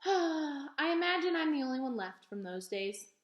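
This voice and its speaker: A woman's voice: high voice, very animated, normal speed, muffled recording, pitched above the performer's own voice. A woman voicing a proud, folksy elderly librarian in a storybook read-aloud, speaking with emphatic repetitions. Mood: wistful. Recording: echoey room.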